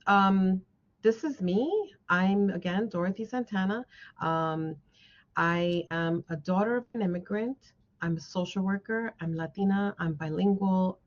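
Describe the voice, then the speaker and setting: A woman guest speaker giving an encouraging motivational talk to a class, often checking in with her listeners. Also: fairly low pitch. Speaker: guest speaker, social worker. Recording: dull, band-limited lo-fi sound.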